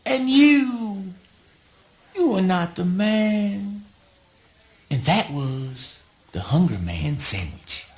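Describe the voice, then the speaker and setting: A woman's voice: mid-range voice, big pitch swings, drawn-out words, pauses often, a little mellow. A woman playing an eccentric, grandiose visionary, declaiming dramatically in a spoken word play. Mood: scornful.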